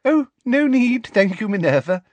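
A man's voice: on the high side, huge pitch swings, a little slow, dry recording, voice pushed up, exaggerated voice. A man voicing a falsely sweet, meddling woman official in an audiobook, honeyed, simpering and over-polite. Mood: sickly sweet.

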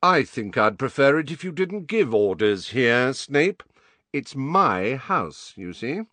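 A man voicing a proud, defiant adult godfather in an audiobook, loud, pointed and scornful. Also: lowish voice, expressive, normal speed, breathy edge, dry recording, exaggerated voice.

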